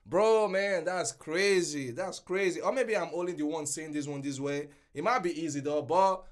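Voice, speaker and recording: A young man with a somewhat high voice chats casually to camera, reacting out loud with slang and exclamations. He laughs a lot and his talk runs in loose, animated bursts. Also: moderate pace. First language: English. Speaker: reaction channel host.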